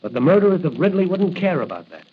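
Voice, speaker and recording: An actor playing an earnest, confident young rookie policeman in a 1940s radio drama, brisk and explanatory. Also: high voice, very animated, slightly rough, full character voice. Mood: earnest, urgent. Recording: muffled, noisy old radio recording.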